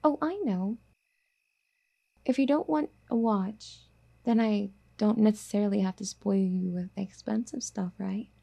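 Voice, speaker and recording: Casting: female performer as obsessive, doting yandere girlfriend for ASMR audio drama. Very soft, mellow, breathy delivery, affectionate and coaxing with a possessive streak. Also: medium pitch, a little slow. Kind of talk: soft intimate ASMR roleplay.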